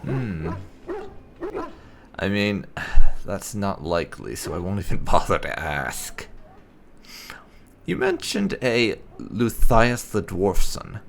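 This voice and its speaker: Brief: male gamer, low and gravelly, voice rises and falls a lot, wry asides over game music. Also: sing-song, slow, long pauses, a little hiss or hum. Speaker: gamer playing a roleplay game. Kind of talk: reading dialogue choices and commenting.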